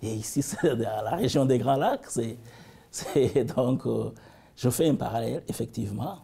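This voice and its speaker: A man from the region, answering interview questions thoughtfully, with halting restarts. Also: mid-range voice, vocal fry, husky. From African Great Lakes region. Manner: thoughtful, halting, with frequent restarts and warm asides.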